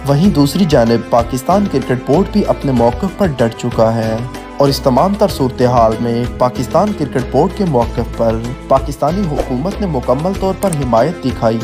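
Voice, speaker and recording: Pakistani man narrating news over music. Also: medium pitch. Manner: formal, declarative news delivery. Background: background music.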